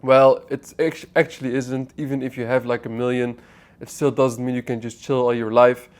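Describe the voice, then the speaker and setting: A man's voice: mid-range voice, little pitch movement, normal speed. A man in his twenties from the Netherlands giving an unscripted motivational talk to camera, blunt and swearing, often restarting his sentences as he speaks straight to the viewer. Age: 20s. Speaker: online business vlogger. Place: apartment.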